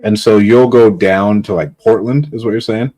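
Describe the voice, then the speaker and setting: A man's voice: fairly low pitch, steady tone, moderate pace, dark tone. A man from California, a real estate investor, chatting casually on a phone call.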